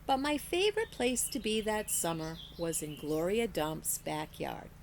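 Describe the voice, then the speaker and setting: A woman reading a children's story aloud in an expressive storytelling style, like a teacher with a class book. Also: fairly low pitch, unhurried, gravelly, slight background noise.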